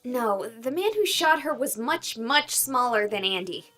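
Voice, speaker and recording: A woman playing an excitable young streamer in a game, with theatrical, emphatic delivery as she investigates a haunted hospital. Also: a little high, a little slow, faint music behind. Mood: puzzled. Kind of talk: voiced game character dialogue.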